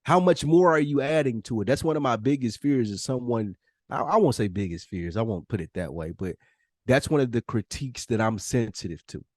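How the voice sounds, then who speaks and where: A man talks on a podcast in a medium-pitched voice. He is candid and self-reflective, using loose slang and swearing freely. The sound is dry, with very little room in it. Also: lively intonation, a little fast, a little mellow, dry recording. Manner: informal slangy talk with profanity. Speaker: podcast host. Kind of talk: casual, candid podcast conversation.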